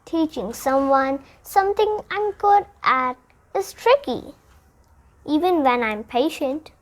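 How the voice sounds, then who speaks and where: Slow, high-pitched child reading a storybook aloud. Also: a bit bright. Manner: deliberate, phrase-by-phrase reading. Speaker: storybook reader. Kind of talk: read-aloud of a children's picture book.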